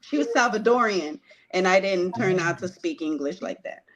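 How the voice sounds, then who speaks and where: A woman from Puerto Rico telling her life story in a conversation, earnest and hesitant, with frequent pauses. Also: on the low side, expressive, over a noisy crowd, poor audio. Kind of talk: personal testimony in conversation.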